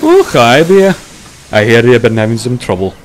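A man's voice: medium pitch, big pitch swings, normal speed, a little hiss or hum, pitched above the performer's own voice, close to an everyday voice. A man voicing a jovial, teasing male steam locomotive with a Scottish accent in a children's story. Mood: friendly.

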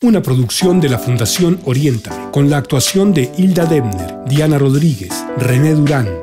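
A man's voice: a little high, expressive, normal speed. A man reading scripted credits as an announcer. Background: guitar music.

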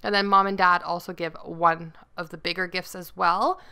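A woman's voice: fairly low pitch, fairly even intonation, moderate pace, pushed and bright, smooth. A woman in her thirties chatting casually to the camera as a vlogger in her home, upbeat with lots of "like" and "um". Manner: chatty, upbeat, many fillers. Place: home dining room and kitchen.

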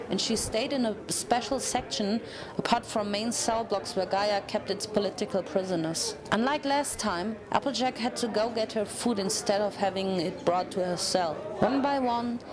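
A woman narrates a story in a dramatic reading style. Her voice sits at a medium pitch, and a crowd murmurs clearly behind her. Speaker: narrator of fan fiction.

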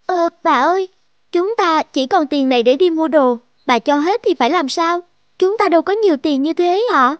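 A woman voicing a practical young granddaughter in an animated folktale, complaining and questioning. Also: very high-pitched, normal speed, no room sound, dull audio. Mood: worried, annoyed.

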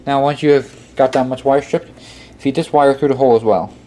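A man's voice: mid-range voice, fairly even intonation, brisk, mellow. A man presenting a casual step-by-step tutorial, often repeating himself as he instructs. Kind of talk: casual hands-on how-to instruction. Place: indoor workbench. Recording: clearly noisy amateur room recording. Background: clear background noise.

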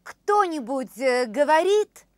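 A woman in her thirties demonstrating phrases for a language course, repeating each one slowly and carefully in a studio. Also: sits high, very animated, no echo. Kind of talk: slow, clear phrase demonstration. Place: studio with orange backdrop.

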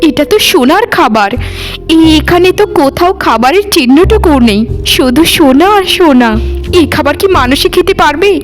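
A very high-pitched cartoon maidservant, acted by a woman, hungry for gold and full of self-pity. She pleads and wails melodramatically, with faint music behind her. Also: lively intonation, normal speed. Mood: weak distress. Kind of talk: dramatic cartoon voice acting.

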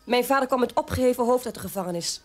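A woman's voice: on the high side, fast, darkish tone, close to an everyday voice. An actress playing a proud, defensive officer's daughter in her forties in a radio drama. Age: 40s.

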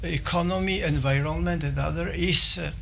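Halting older man with Polish accent. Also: on the high side, steady tone, gravelly, a bit bright, a little hiss or hum. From Poland. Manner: halting, with many fillers and restarts. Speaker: visiting scholar presenting. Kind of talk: formal presentation to a small audience. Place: office meeting room.

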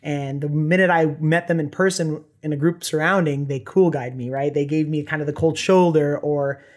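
A man in his thirties from Southern California, a company founder talking as a podcast guest, animated and full of "you know" fillers. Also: on the high side, normal speed. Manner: animated, gestures a lot, many fillers like "you know" and "right". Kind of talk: casual interview talk. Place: small podcast studio with bookshelves. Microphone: desk microphone on boom arm.

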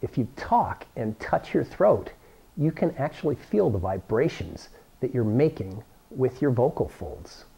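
A man's voice: mid-range voice, sing-song, measured pace, gravelly, mellow, slight background noise. A middle-aged American man presenting a science how-to demonstration, explaining step by step on a lapel microphone. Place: school workshop or lab room, indoors.

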